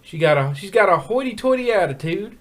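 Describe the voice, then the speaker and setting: Irritable cartoon farm mare played by a man, raised pitch, very lively swings, folksy scolding for a fan story. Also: a little fast. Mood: argumentative. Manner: exasperated, folksy rural phrasing.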